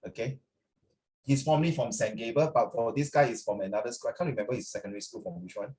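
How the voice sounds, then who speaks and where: A man's voice: medium pitch, quick pace, darkish tone. A middle-aged man with a Singaporean accent, chatting informally as a language teacher and slipping between English and Mandarin, with frequent tags like "ah". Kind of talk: informal classroom talk with students.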